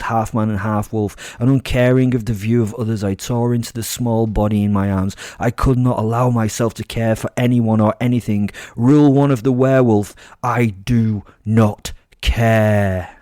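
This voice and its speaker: Casting: male actor as savage werewolf for horror audio drama, low-pitched, menacing, emphatic, close dry sound. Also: mellow, dry recording, natural voice. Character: savage male werewolf, brooding and furious, refusing to care.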